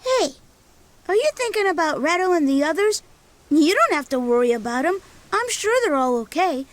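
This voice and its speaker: A good-natured young boy adventurer, speaks in a high, boyish voice that rises and falls freely. The delivery is casual and eager, in animated video game style. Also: moderate pace. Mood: eager, reassuring.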